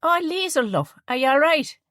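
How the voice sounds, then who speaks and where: A woman voicing a warm, chatty older village shopkeeper woman with a Northern Irish accent and homely, folksy phrasing. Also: high-pitched, lively intonation, normal speed, no room sound, clean studio sound, pitched above the performer's own voice. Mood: fussing, homely.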